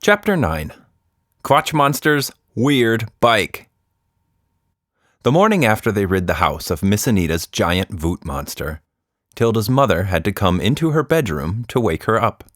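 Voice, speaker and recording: Low-voiced man hosting a children's story podcast. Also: no room sound, clean studio sound. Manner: halting, gently humorous. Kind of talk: casual podcast intro and children's story narration.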